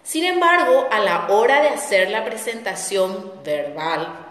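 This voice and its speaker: A woman's voice: medium pitch, lively intonation, measured pace. A middle-aged woman telling a personal story as a spiritual talk host, reflective and repeating words for weight. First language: Spanish. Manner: reflective, warm storytelling with repetitions. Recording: echoey room with long reverb.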